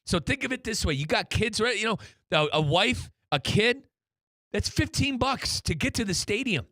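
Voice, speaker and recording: Bright, higher-pitched male sports host. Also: lively intonation, moderate pace, no echo, clean studio sound. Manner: emphatic, chummy, repeats himself. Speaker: sports radio host and play-by-play announcer. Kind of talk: soccer talk show hosting.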